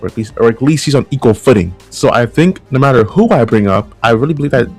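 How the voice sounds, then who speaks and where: A man with a mid-pitched voice hosts a casual fan video essay over background music. He talks conversationally and loosely, rambling a little and doubling back on phrases to stress them. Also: lively intonation, fast, husky. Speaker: host of a video commentary channel.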